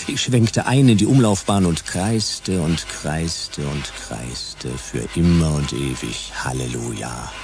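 Hardboiled detective narrator, really deep and creaky, dry deadpan radio play, music under it. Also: male voice. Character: laconic, wry hard-boiled private detective. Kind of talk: first-person noir narration in radio drama.

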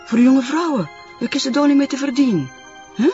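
A chatty, fumbling Flemish informant in a crime drama. The voice is high and animated, halting as it hunts for words, under loud music. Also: male voice, highs cut off. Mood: chatty, hesitant. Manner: hesitant, searching for words.

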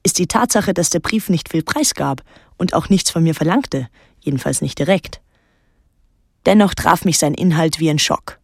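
A woman's voice: fairly low pitch, dry recording. An actress playing a guarded, introspective eighteen-year-old island girl who tells her story in a literary radio drama. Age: young adult. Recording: dry close studio, music underneath. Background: soft music.

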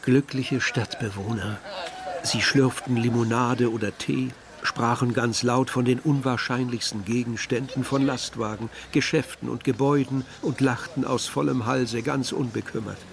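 A male actor as a reflective narrator recalling a journey for a literary radio drama. He has a mid-range voice with marked fry and a measured, wistful delivery. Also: mid-range voice, fairly even intonation, natural voice.

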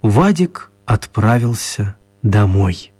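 Husky male storyteller, mock-serious. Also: lowish voice, very animated, slow, warm tone, dry recording. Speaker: narrator. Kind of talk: scripted story narration for children's radio play.

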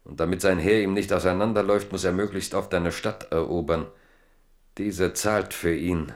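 Deep voiced guy narrating a radio drama, deliberate, literary, kinda rhetorical. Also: steady tone. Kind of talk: scripted radio play narration.

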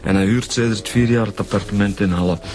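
An actor playing an easygoing, slightly hesitant police detective with a Flemish accent, talking colloquially in a radio drama. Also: male voice, fairly low pitch, steady tone, quick pace, a little mellow, close to an everyday voice. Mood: matter-of-fact.

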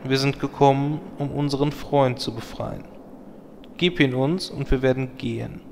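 Man as a dry, mid-pitched sarcastic adventurer. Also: steady tone, normal speed, a little mellow, a little hiss or hum, lightly acted. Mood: solemn. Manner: sardonic. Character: dry, sarcastic adventurer leading a hero party.

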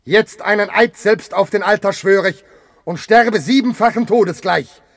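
High-voiced anguished Amphitryon, in radio drama. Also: male voice, measured pace, gravelly, no room sound. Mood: solemn despair. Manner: impassioned, declamatory. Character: Amphitryon, anguished proud betrayed Theban general and husband. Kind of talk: classical verse radio drama acting.

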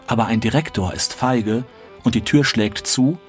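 A man giving a dramatic reading of literary prose in short, deliberate phrases. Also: mid-range voice, faint music behind. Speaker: literary reader.

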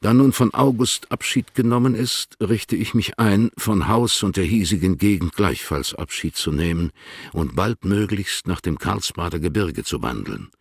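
Give the listener an aging, courtly poet in a radio play. His voice is extremely low and gravelly, a little husky. He reads his letters in a measured, formal, indulgent way. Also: expressive, dry recording, clean studio sound, exaggerated voice. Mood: formal, composed. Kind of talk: radio drama reading of letters.

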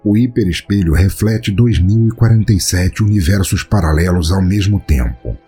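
A man with a Brazilian Portuguese accent narrates a scripted horror story in a measured, solemn, dramatic way. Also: low-pitched, warm tone, slightly rough, soft background music. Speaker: podcast narrator.

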